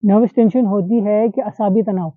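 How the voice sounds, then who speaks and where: A man's voice: sits high, steady tone, moderate pace, no room sound. Man, instructor, hands-on demo, checks in with listeners, dry, muffled recording. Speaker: acupressure instructor. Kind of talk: hands-on demonstration class. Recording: dull lo-fi sound.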